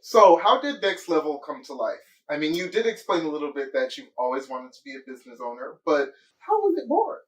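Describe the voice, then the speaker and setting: A man hosting an interview, asking questions in a lively, playful, encouraging way. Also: on the high side, a little fast, few pauses, roomy sound, recorded from a distance, rough recording. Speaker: interviewer, host. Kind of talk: casual interview.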